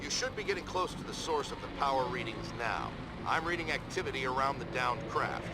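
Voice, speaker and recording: A man playing a businesslike military squad commander in a video game, giving crisp orders and briefings. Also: medium pitch, animated, brisk, heavy background noise, close to an everyday voice. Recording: loud music under the voice.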